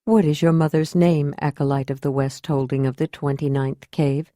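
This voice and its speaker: A woman voicing a large, commanding spiritual leader who speaks in a measured, formal way. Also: deep voice, steady tone, mellow, no echo, studio quality, pitched below the performer's own voice, lightly acted. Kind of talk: audiobook character voice.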